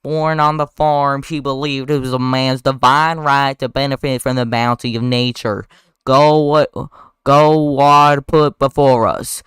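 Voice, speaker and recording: A man's voice: mid-range voice, fairly even intonation, unhurried, a bit bright, clean tone, dry recording, lightly acted. A man voicing a pompous Southern lawyer in a heavy, exaggerated drawl with grandiose, folksy oratory. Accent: exaggerated American Southern.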